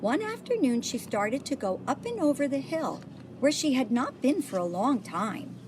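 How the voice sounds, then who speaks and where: A woman reading a children's picture book aloud, pausing to point things out to young listeners. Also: high-pitched, big pitch swings, noisy background. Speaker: storybook reader.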